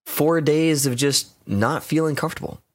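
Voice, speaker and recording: A man hosting a gaming podcast in casual chat, lively and quick to laugh. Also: on the high side. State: recovering from a sore throat. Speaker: podcast host.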